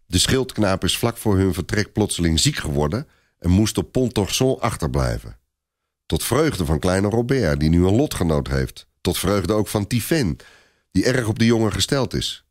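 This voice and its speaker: A man narrating a dramatic historical story for a radio play in an expressive storytelling manner. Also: deep voice.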